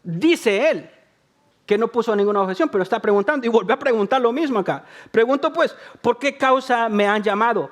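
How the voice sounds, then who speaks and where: A man's voice: very high-pitched, fairly quick. A man from El Salvador preaching a sermon, talking to the congregation in a conversational way, repeating phrases for emphasis and joking about himself. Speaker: pastor.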